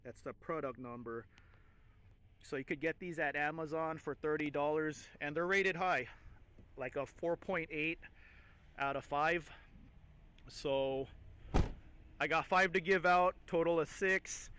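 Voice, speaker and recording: A man with a fairly high, clean and smooth voice talks informally, as a vlogger does to viewers. His delivery is casual and enthusiastic. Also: unhurried, long pauses, bright, forceful tone. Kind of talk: casual chat to camera.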